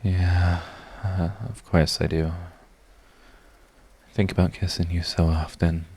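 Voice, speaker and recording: Casting: man to act lovestruck villain for romantic audio roleplay; deep register, tender under the pride, confessional, easily flustered. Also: little pitch movement, slow, darkish tone, slightly rough, full character voice. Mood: flustered, yearning.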